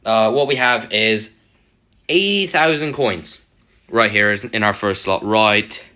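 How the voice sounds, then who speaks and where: Chatty hoarse young man, bright voice. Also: fairly low pitch, a little slow. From United Kingdom. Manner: rambling, self-correcting, chatty asides. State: partly lost voice, throat-clearing. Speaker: gaming channel host. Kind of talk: casual game tutorial commentary.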